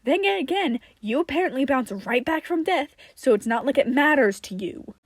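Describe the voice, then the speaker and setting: A woman voicing an angry, sarcastic man in a story, forceful and scoffing. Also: sits high, animated, normal speed, no echo, voice pushed up. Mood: bitter anger. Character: angry, sarcastic, scornful man lashing out at colleagues.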